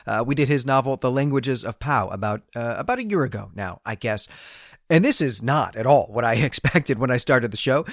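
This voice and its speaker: A man's voice: medium pitch, a bit bright, no echo. Man hosting a podcast, very dry sound. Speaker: podcast host. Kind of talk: solo book discussion podcast, semi-scripted.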